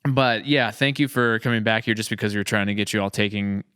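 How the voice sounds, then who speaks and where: Clear-voiced man hosting a casual podcast. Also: fairly low pitch, quick pace, pushed and bright. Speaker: podcast host and series creator or director.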